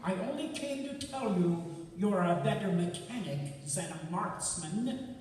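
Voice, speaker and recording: A menacing hypnotist villain of old radio drama, acted by a man, slow and mocking in delivery. The voice is high for a man and comes from a distance in a big reverberant hall with faint hiss. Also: animated, darkish tone. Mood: smug, taunting. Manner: deliberate, commanding, mocking. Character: sinister male professor, a menacing, commanding hypnotist villain. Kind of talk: old-time radio drama stage acting. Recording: distant, echoey hall, hissy live recording.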